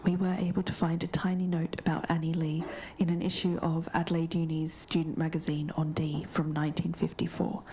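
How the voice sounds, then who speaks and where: A woman with an Australian accent whispering podcast narration in a quiet library. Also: low-pitched, little pitch movement, a little fast, hardly any pauses, slight background noise. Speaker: podcast co-host.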